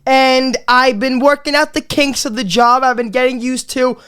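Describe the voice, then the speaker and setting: Male comedy podcast host, clear voice though he's losing it, rambling and profane, lots of false starts. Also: extremely high pitch, steady tone, normal speed, bright, forceful tone. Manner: rambling, profane, many restarts and repeats. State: losing his voice, a bit under the weather. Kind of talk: casual comedy podcast monologue.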